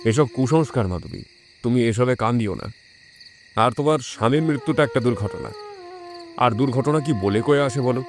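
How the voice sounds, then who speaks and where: Guy playing a kindly persuasive village teacher, soft coaxing manner, radio story acting, crickets faintly behind. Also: mid-range voice, lively intonation, normal speed, pauses often, slight background noise, natural voice. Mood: consoling. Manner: gentle, coaxing, earnest. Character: reassuring, persuasive village schoolmaster courting a pupil's trust. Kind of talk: dramatised audio horror story acting.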